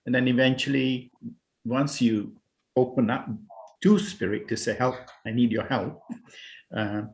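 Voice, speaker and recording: A man's voice: mid-range voice, fairly even intonation, a little slow, low-quality recording. Originally from Singapore, this man speaks as a guest on a video call in a mid-range voice. He talks in a hesitant, searching way, restarting phrases and leaning on "you know" while recounting his path. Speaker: guest, spiritual healer and meditation teacher. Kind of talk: casual interview talk over a video call.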